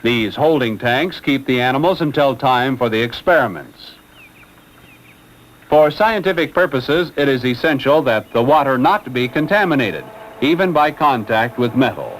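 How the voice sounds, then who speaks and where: A man narrating a scripted 1960s educational film in a formal, measured, expository manner. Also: medium pitch, animated. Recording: noisy old 1960s film soundtrack.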